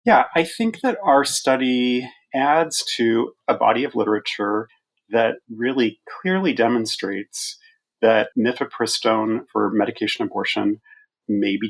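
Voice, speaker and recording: A male physician researcher talking through evidence in an interview, deliberate and stressing key words. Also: lowish voice, gravelly, breathy edge, poor audio.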